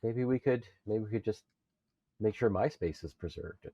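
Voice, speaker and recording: A man's voice: lowish voice, normal speed, warm tone, no room sound, lo-fi sound. A man chatting casually as a panelist on a podcast. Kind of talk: casual chat.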